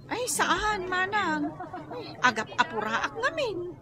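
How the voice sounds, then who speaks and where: A woman's voice: very high-pitched, very animated, slow, bright, forceful tone, heavily acted. A woman playing an exasperated young wife in a radio comedy-drama, speaking with an Ilocano accent. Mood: meek.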